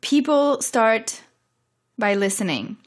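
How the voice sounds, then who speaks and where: A woman's voice: on the high side, animated, slow. A woman hosting a podcast interview, listening attentively and summarizing her guest's points. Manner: attentive, frequent affirming murmurs, summarizes the guest. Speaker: podcast host. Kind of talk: casual conversational interview.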